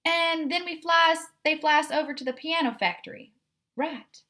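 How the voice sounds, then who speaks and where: A self-assured but naive female cat out of a children's storybook, put on by a woman reading aloud. The voice is fairly high, with an eager, questioning way of talking. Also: moderate pace, sharp tone.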